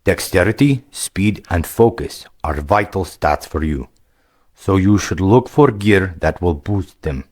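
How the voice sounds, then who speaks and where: A man voicing a big, burly Viking warrior who gives steady, plain advice in a storybook reading. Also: sits low, measured pace. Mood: helpful.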